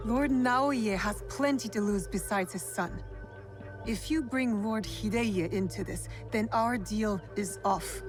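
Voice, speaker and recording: A woman's voice: mid-range voice, slow, close to an everyday voice. A woman playing a young, defiant and determined warrior of feudal Japan in a video game, blunt and challenging. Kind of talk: cinematic video game acting. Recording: faint music behind the voice. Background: faint background music.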